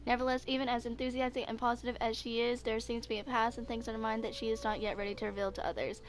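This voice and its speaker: High-voiced young woman reading aloud casually. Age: 20s. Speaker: video creator reading game character stories. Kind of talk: casual read-aloud of character profiles.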